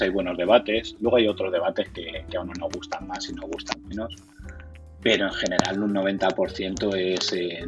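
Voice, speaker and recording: A man's voice: fairly low pitch, highs cut off. A man from Spain with a Castilian accent, answering interview questions conversationally, sometimes repeating words as he searches for phrasing. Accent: Castilian Spanish. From Madrid, Spain. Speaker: interviewee, board game community organizer.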